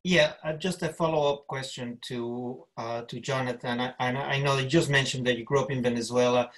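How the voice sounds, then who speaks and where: A man from the audience asking a question on a video call, halting and stammering. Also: medium pitch, steady tone, a bit bright, poor audio.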